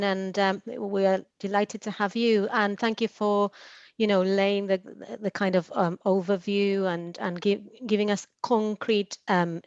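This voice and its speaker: A woman's voice: mid-range voice, smooth, no room sound. A woman hosting a webinar, thanking a speaker and asking an interview guest questions with many restarts. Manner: warm, hesitant, many fillers and restarts. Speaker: webinar host. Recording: dry, dull lo-fi webinar audio.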